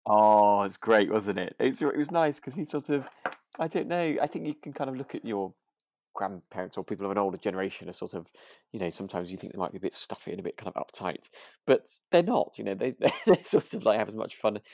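A man's voice: fairly low pitch, lively intonation, quick pace, darkish tone. A man co-hosting a casual podcast about a radio drama, talking in a hesitant, rambling way. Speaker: podcast co-host.

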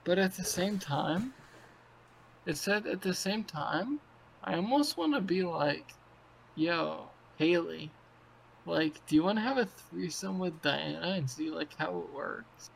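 A young man in his twenties talks crudely and loosely over a video call, piling on fillers and swearing. His voice sits high for a man and carries a gravelly fry. A faint hiss lies under the call. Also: expressive, unhurried, low-quality recording. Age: 20s. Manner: rambling, profane, filler-heavy. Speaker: podcast co-host. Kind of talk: crude casual chat.

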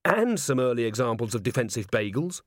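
A man's voice: medium pitch, big pitch swings, brisk, no room sound, studio quality, natural voice. A man playing a cheerful, innocent young male watchman, polite, guileless and enthusiastic, in a storybook reading.

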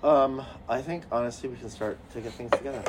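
A man's voice: mid-range voice, fast, heavy background noise. A man co-hosting a podcast in casual chat, blunt and wry with plenty of fillers. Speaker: podcast co-host.

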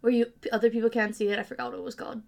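A woman's voice: a little high, little pitch movement, very fast, gravelly, a little mellow. A woman hosting a casual podcast chat, asking curious questions in a chatty way. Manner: chatty, curious, many likes. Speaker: podcast host.

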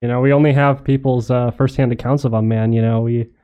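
A man's voice: medium pitch, fairly even intonation, fairly quick, clear, resonant tone. A man interviewing in a casual, informal and agreeable way. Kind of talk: casual chat. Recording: slightly dull, lo-fi audio.

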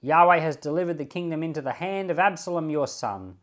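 Man voicing angry biblical curser, scornful, declamatory, raised emphatic pitch, accusing. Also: a little fast, fairly bright tone, natural voice. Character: angry cursing man hurling accusations at a king.

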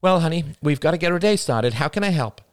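A man's voice: fairly high pitch, expressive, fast talker, smooth, no room sound, clean studio sound, pitched above the performer's own voice, lightly acted. A man voicing a weary young mother who coaxes her small son in a slightly forced sing-song. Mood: coaxing.

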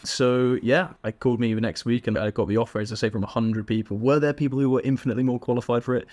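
A young man from England with an English accent, a guest telling stories in a relaxed, chatty way with frequent laughs and fillers. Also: medium pitch, quick pace. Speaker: podcast guest. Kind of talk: casual interview chat.

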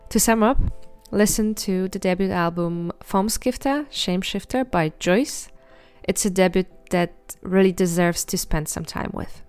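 Smooth-voiced woman presenting a music podcast. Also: medium pitch. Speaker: podcast host. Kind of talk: scripted segment links.

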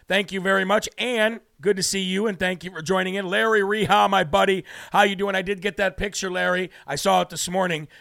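A man's voice: high-pitched, gravelly, bright, forceful tone, dry recording, clean studio sound. A man from New Hampshire hosting a live political talk show, brash and preachy, losing his voice as he reads out viewer comments.

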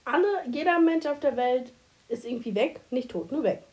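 A woman's voice: sits high, animated, normal speed, darkish tone. A woman in her twenties with a cold and a blocked nose, chatting casually as a podcast co-host and asking playful questions. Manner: playful, asks quirky questions, laughs often. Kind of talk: casual chat.

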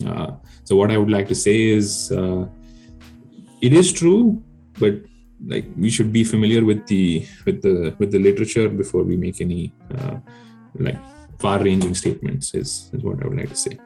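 A man's voice: lowish voice, normal speed, vocal fry, dark tone, faint music behind, poor audio. A man whose first language is Telugu, a guest researcher explaining his work in casual podcast chat, hesitant with many fillers and restarts.

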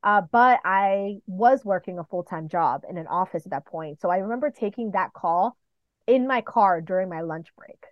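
A woman from New York City and the Dominican Republic chatting casually as an interview guest, telling stories in an animated, playful way. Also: medium pitch, normal speed, dry recording, highs cut off. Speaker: podcast guest, professional wrestler.

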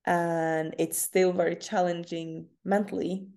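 A young woman from northern Norway talks in English with a Norwegian accent, a relaxed interview guest who laughs easily. Her voice sits low and sounds warm and mellow. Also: measured pace, gravelly. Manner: candid, laughs often. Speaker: CrossFit athlete guest. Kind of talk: casual interview.

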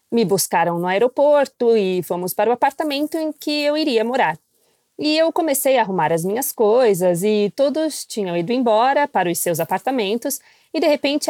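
A woman's voice: on the high side, mellow, no echo, clean studio sound. A woman who is a missionary guest, recounting her experiences in a candid, reflective way. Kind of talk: personal storytelling.

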